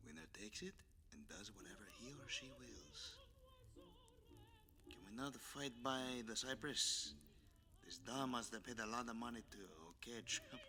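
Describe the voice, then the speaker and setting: A man's voice: mid-range voice, huge pitch swings, unhurried, music in the background. A man playing a cocky, flirtatious, easily flustered masked Spanish vigilante with a Spanish accent, in a theatrical, bantering ASMR roleplay drama. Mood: bantering challenge.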